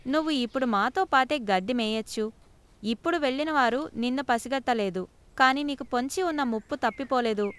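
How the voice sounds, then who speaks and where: A woman's voice: high voice, fairly bright tone, smooth. A woman narrating a scripted moral story for children as a storyteller.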